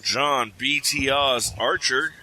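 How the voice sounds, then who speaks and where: A man's voice: mid-range voice, slow, pushed and bright, poor audio. A man hosting a casual podcast, teasing his co-hosts and laughing often. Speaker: podcast host.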